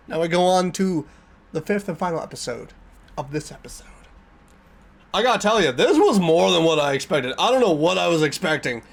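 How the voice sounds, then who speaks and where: Animated, higher-voiced man reacting out loud. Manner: jittery, repeats himself. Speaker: host of a video reaction channel. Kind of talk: casual reaction commentary.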